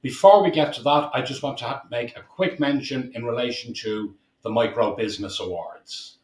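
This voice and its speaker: A man presents and interviews in a chatty style. His voice sits at a middle pitch. The recording has a clearly roomy echo around him. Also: normal speed, a little hiss or hum. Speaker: host interviewing a guest. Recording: echoey room sound.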